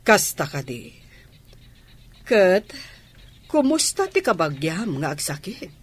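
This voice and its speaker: An actress playing a trusting, worried wife in a radio drama, with an Ilocano accent. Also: female voice, lowish voice, big pitch swings, takes it slowly, slightly rough, full character voice. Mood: worried, questioning. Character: trusting, worried wife, gently defending her man. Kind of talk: Filipino radio drama acting.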